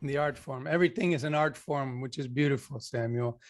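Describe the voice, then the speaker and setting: A man's voice: mid-range voice, fairly quick. A man hosting an interview on a video call, guiding the conversation and greeting the people who join. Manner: friendly, guiding and organizing the call. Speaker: interview host.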